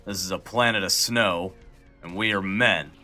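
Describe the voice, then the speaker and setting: A man's voice: sits low, normal speed, pushed and bright, soft background music. A man playing a weary, authoritative snowman army major in a comic audio drama. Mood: stern. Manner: authoritative, no-nonsense.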